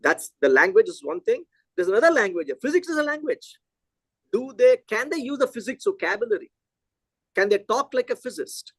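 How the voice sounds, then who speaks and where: A middle-aged man from India, a Telugu native, giving a physics lecture in an animated, conversational way and thinking aloud. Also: very high voice, brisk, slightly rough, dry recording.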